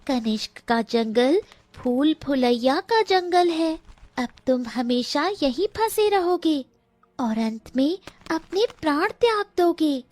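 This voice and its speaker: A woman voicing a magical talking myna bird in an animated fairy tale, stern and full of warnings. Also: sits high, slow pace, dry recording, pitched above the performer's own voice. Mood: menacing warning. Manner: menacing, warning, declamatory.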